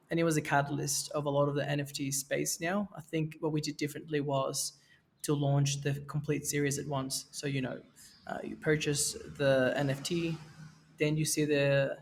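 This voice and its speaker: A man in his thirties from Venezuela, a podcast guest speaking in a relaxed way, with fillers and restarts. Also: a little high, creaky. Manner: relaxed, with fillers and self-corrections. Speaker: guest, NFT project co-creator. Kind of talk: casual podcast interview. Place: Mexico, where he is now.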